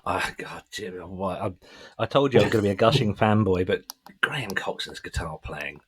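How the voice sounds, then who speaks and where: Deep husky man, enthusiastic. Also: animated, a little fast. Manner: enthusiastic, sweary fan talk. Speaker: music podcast host. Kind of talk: casual music chat.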